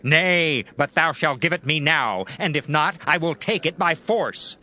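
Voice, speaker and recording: An actor playing a brash, demanding priest's servant who bullies forcefully in a dramatized Bible reading. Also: male voice, a little high, expressive, measured pace, exaggerated voice. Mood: demanding, threatening. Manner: forceful, bullying.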